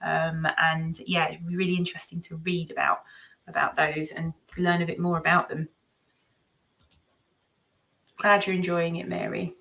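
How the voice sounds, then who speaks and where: A woman's voice: fairly low pitch, flat delivery, moderate pace, vocal fry, pauses often, bright, forceful tone. A woman hosting a book readalong livestream, chatting casually in a hesitant way as she thinks aloud. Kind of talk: casual live-stream chat, reading out comments and cards.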